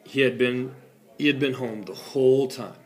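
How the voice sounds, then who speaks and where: A man's voice: mid-range voice, fairly even intonation, normal speed, natural voice. A man plays a smug storybook wolf telling his side of things. He is chatty and self-justifying, with a mock-innocent manner, as if confiding in the listener. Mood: matter-of-fact, sly. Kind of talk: animated storybook read-aloud.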